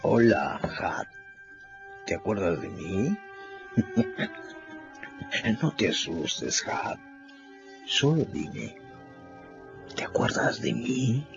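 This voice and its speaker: An actor playing a menacing, mocking, shabby father in his fifties in a radio drama, with a gravelly, breathy voice. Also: on the low side, big pitch swings, full character voice. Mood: sinister taunting. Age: 50s. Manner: slow, drawn-out, taunting. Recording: dull lo-fi radio drama with music.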